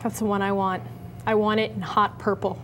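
A woman's voice: mid-range voice, level tone, fairly quick, background noise. An American woman in her twenties co-hosting a tech show, talking in an informal, slangy, outspoken way. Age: 20s. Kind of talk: casual scripted tech chat. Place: indoor TV studio set.

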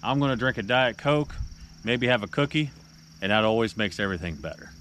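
Low-voiced American man over loud outdoor noise. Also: animated, fairly quick. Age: middle-aged. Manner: folksy, rambling, addresses viewers as guys and folks. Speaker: fishing vlogger and tournament angler. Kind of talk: casual running commentary to camera. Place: outdoors on a small boat on a lake. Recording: outdoor action-camera sound with heavy ambient noise.

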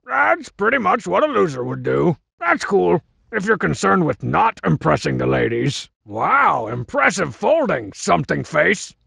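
An actor playing a cocky, scheming cartoon masked wrestler in a video game, boastful and mocking, with a gravelly, raspy voice. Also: male voice, sits high, very animated, measured pace, hardly any pauses, sharp tone, dry recording, exaggerated voice. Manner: boastful, mocking, swaggering wisecracks. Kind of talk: video game character barks.

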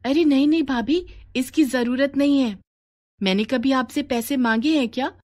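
Eager, soft-hearted henna girl for animated moral story, female performer; high voice. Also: moderate pace, close to an everyday voice. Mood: eager, modest. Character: eager, tender-hearted young daughter who paints henna.

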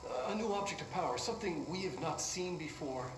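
A man playing a nervous, excitable research scientist in a game, rambling and halting as he justifies himself. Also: on the high side, close to an everyday voice. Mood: excited. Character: nervous, excitable male research scientist defending his prized subject. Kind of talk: video game drama acting. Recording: distant, echoey, noisy recording.

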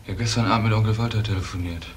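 An actor playing an earnest, stubborn young man who worries about his missing uncle in a German radio crime drama, speaking haltingly. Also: lowish voice, fairly even intonation, natural voice. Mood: worried, subdued. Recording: clearly noisy old radio play recording.

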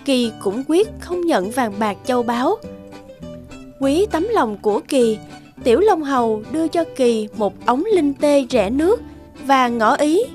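High-voiced woman narrating a fairy tale. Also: a little mellow.

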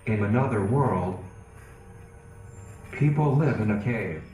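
A man voicing a gentle male AI being who lives on a stage, calmly guiding an audience with instructions. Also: lowish voice. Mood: narrating. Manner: slow, calm, guiding instructions. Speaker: interactive stage character. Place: theater stage. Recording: echoey stage sound, far from mic, loud music.

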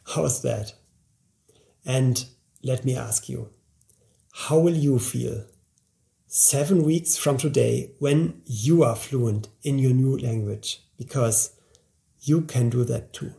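Man, 40s, presenter for a course ad; low-key mellow tone with gravel, earnest pitch to camera. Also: slow, pauses often. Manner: earnest, upbeat sales pitch. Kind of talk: scripted promotional talk to camera. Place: indoor studio, plain grey backdrop, seated at a table.

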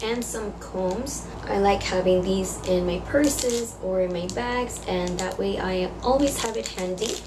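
A young woman, raspy from being sick, chatting quickly through a shopping haul in a vlog. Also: mid-range voice, noisy background. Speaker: beauty vlogger. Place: small indoor room against a plain wall.

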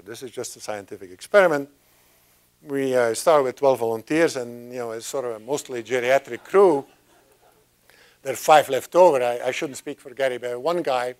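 An older man stands at a lectern and talks through his slides in a loose, conversational way. He often restarts his sentences and wanders into asides. His voice is picked up close by a clip-on mic. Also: mid-range voice. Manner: rambling, chatty, with many restarts and asides. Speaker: guest speaker. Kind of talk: informal science talk. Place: lecture room at a podium. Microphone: lapel mic.

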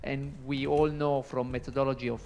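A man's voice: mid-range voice, little pitch movement, fairly quick, darkish tone, slight background noise. A man in his forties in a large lecture hall, commenting into a handheld microphone during a conference question session. He hesitates often and repeats words. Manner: hesitant, repeats words, non-native phrasing. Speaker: audience member asking a question. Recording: echoey hall.

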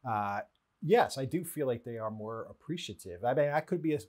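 A man's voice: mid-range voice, lively intonation, brisk, darkish tone. A man around forty explaining things as an interview guest, often checking in with a "right?". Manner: often tags statements with "right?". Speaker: animal shelter representative, interview guest. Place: small indoor studio-office, seated.

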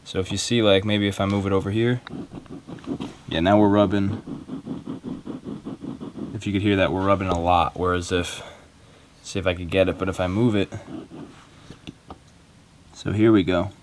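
A man's voice: low-pitched, little pitch movement, normal speed, long pauses, a little hiss or hum. A man talking casually and a little hesitantly through a hands-on repair tutorial, unscripted and informal. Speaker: video maker giving a repair tutorial. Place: small cluttered carpeted room indoors.

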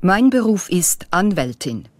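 Lady reading slow german lesson lines, low-ish voice, long reverb. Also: sing-song, a little mellow. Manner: slow, clearly enunciated phrases. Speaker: German dialogue speaker. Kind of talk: slow scripted language-lesson dialogue.

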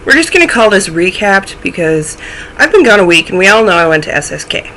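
A woman's voice: mid-range voice, sing-song, fairly quick, sharp tone, slight background noise, low-quality recording. A young woman hosting a knitting podcast from home, chatting casually to a webcam with a stuffy, snuffly voice and self-deprecating asides. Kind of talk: casual chat to camera. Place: small room at home, indoors.

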